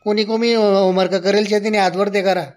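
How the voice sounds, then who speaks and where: A man's voice: high-pitched, flat delivery, fairly quick, a little mellow, lightly acted. A man playing a stern, sarcastic village schoolmaster in a comedy cartoon, with a Khandeshi Ahirani accent. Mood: sarcastic scolding. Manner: sarcastic, scolding, bossy.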